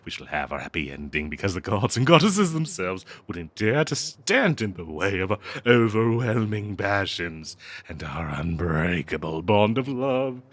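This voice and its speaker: A man's voice: lowish voice, sing-song, no room sound. A man playing a cursed talking dagger, possessive, lovestruck and bloodthirsty, in a melodramatic, cooing audio roleplay addressed to the listener. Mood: grandiose, fervent. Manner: melodramatic, cooing, slow drawn-out delivery with manic giggles.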